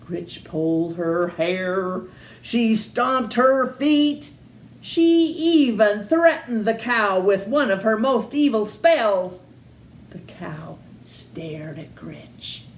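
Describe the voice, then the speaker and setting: A woman reading a children's picture book aloud in an expressive, sing-song way. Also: mid-range voice, extremely slow, reverberant. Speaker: storytime reader.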